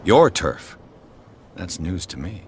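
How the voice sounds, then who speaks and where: Low-voiced, probing detective. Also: male voice, very animated, slow, a little hiss or hum, heavily acted. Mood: dry, defiant. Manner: terse, questioning, dry. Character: probing male detective, composed and dogged. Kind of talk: video game dialogue.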